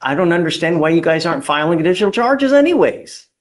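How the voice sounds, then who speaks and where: A male judge speaking from the bench in a courtroom. His voice sits a little high, and he talks in firm, curt statements. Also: sing-song, fast. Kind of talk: ruling from the bench.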